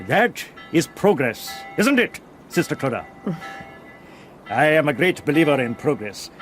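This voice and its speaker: An actor playing a genial, proud older general in a radio drama, chuckling and grandly courteous. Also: male voice, mid-range voice.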